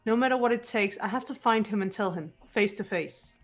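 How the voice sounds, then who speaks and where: A woman voicing a composed, guarded teenage girl detective in a video game, speaking in a measured, serious way, with bitterness held in check. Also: mid-range voice, natural voice. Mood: cool, resolute. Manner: measured, serious, deliberate delivery. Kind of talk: amateur video game voice acting. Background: background music.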